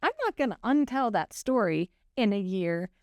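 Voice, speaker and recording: A woman's voice: mid-range voice, expressive, moderate pace, darkish tone, no room sound, studio quality. A woman in her forties co-hosting a podcast, talking in an earnest, reflective way and laughing easily. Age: 40s. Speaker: podcast co-host. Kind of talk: casual conversation.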